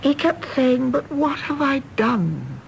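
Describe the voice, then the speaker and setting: An actress playing a weary, self-reproachful middle-aged widow with an English Received Pronunciation accent in a radio drama. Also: female voice, high voice, sing-song, takes it slowly, vocal fry, slight background noise, full character voice, low-quality recording. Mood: regretful, weary. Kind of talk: British radio drama acting.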